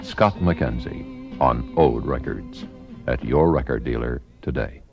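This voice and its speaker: A man reading a 1960s record commercial in a measured, portentous announcer style. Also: extremely low pitch. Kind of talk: 1960s record advertisement voice-over. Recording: dull lo-fi 1960s radio commercial. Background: loud music.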